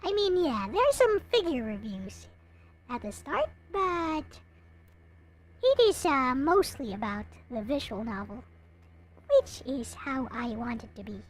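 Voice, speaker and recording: High, lilting woman streamer, unhurried. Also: very animated, slight background noise, rough recording. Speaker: live streamer, host. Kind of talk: casual livestream chat to viewers.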